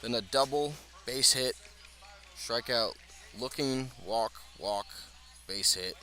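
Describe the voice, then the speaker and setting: A man's voice: mid-range voice, slow pace, bright, forceful tone. A man doing live radio baseball play-by-play in short, clipped phrases. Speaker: sports play-by-play announcer.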